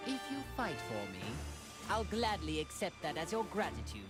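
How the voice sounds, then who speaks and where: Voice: medium pitch, big pitch swings, moderate pace, loud music behind the voice, natural voice. An actor voicing a cold, haughty alien tyrant in an anime video game, with formal, condescending and politely menacing phrasing. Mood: smug disdain.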